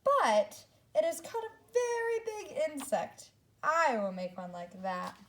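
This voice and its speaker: A woman reads the part of a naive, hungry young female spider from a storybook. She is earnest and determined, speaking slowly and emphatically with wondering, lively swings in pitch. Faint noise sits behind the voice. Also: exaggerated voice. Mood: amazed.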